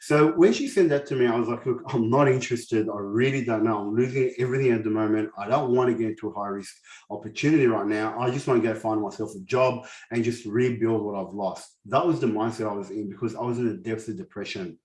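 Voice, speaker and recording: A man's voice: on the low side, quick pace, a little mellow, echoey room, poor audio. Earnest man with a lisp. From grew up in a war-torn country, raised in Australia. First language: not English. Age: 40s. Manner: earnest, personal storytelling with frequent restarts. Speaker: summit speaker. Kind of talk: motivational presentation.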